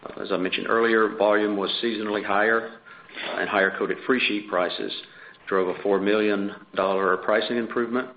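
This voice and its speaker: A man's voice: on the low side, fairly even intonation, brisk, a little hiss or hum. A man speaking as a finance executive, giving a formal, businesslike scripted earnings presentation over a conference phone line. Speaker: chief financial officer presenting results. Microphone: conference call phone line.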